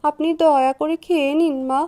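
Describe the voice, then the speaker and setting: A woman voicing a gentle, dutiful daughter-in-law in a cartoon, pleading and deferential. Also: high voice, fairly even intonation, brisk, lightly acted. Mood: sorrowful pleading. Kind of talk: Bengali cartoon voice acting.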